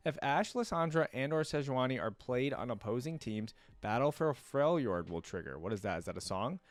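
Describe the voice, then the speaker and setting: A man with a mid-pitched voice hosts a reaction video. He talks conversationally to his viewers, thinking aloud as he goes. His delivery moves between casual commentary and reading wiki text out loud. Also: expressive, moderate pace, dry recording.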